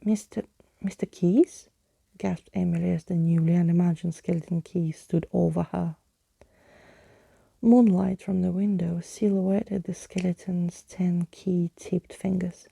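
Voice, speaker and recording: Whispery asmr lady reading a bedtime story, super crackly and breathy, soft, right up on the mic. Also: fairly low pitch, little pitch movement, a little slow, no echo. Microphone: close microphone, dry.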